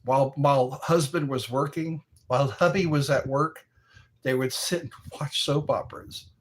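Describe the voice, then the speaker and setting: A man's voice: medium pitch, fairly even intonation, moderate pace. A middle-aged man hosting a live stream, rambling in casual chat, reacting to viewers and laughing at his own jokes.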